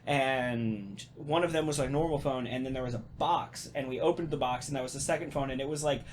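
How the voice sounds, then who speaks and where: A man chatting casually as a podcast host, telling stories in an animated, halting way with casual swearing. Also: mid-range voice, slight background noise. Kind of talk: casual podcast chat and storytelling.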